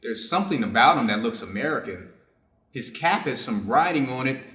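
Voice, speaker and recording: A man reading the part of a middle-aged Japanese surgeon in a staged story, reflective and clinical, with formal, deliberate phrasing. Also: medium pitch, expressive, sharp tone. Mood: torn, cold. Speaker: reader's theater performer. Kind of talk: staged reading of a short story, measured delivery. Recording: echoey room.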